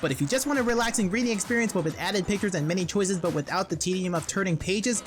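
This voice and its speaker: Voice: mid-range voice, fast. A video essay host reading scripted comedic narration in a wry, irreverent manner. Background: background music.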